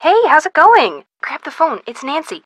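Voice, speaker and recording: A woman's voice: high-pitched, very animated, normal speed, a bit bright, no echo, heavily acted. A woman playing a cheerful, chatty young friend in a game. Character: bubbly young woman friend who loves cooking.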